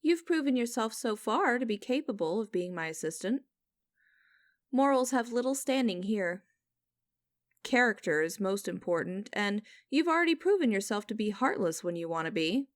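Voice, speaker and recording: A woman playing a cold, condescending female boss in an ASMR audio roleplay, speaking to the listener in a deliberate, commanding, mocking way. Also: mid-range voice, sing-song, no room sound, studio quality, lightly acted. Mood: cold, controlled. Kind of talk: ASMR roleplay acting, direct address to listener.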